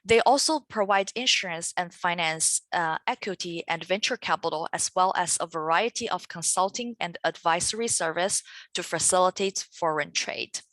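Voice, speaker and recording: A woman giving a webinar presentation from slides on a video call, careful and halting with um fillers. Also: lowish voice, a bit bright, no echo. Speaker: trade presenter.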